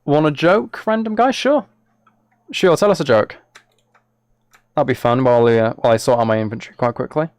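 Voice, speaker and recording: Male streamer, mid-pitched, casual and chatty, talking to viewers while playing, very dry recording. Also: moderate pace, pauses often, a little mellow, no room sound. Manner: chatty, thinking aloud as he plays. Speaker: live streamer. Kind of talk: casual live game stream chat, replying to viewers.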